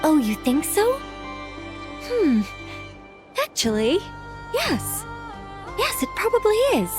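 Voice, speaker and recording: A woman voicing a gracious, playful ancient angel turned mage in a video game, with a poised, gently teasing manner. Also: extremely high pitch, huge pitch swings, slow pace, over music, exaggerated voice. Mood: gentle, amused.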